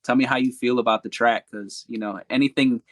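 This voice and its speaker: Male guest, mid-pitched, dry studio sound, loose candid talker. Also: steady tone, a little fast, no room sound. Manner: candid, rambling with restarts and fillers. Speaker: podcast guest, hip-hop artist. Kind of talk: casual interview chat.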